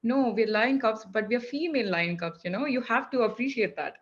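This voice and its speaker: A woman's voice: a little high, brisk, muffled recording. A woman talking casually in a group, telling personal anecdotes with repeated phrases and the odd "you know".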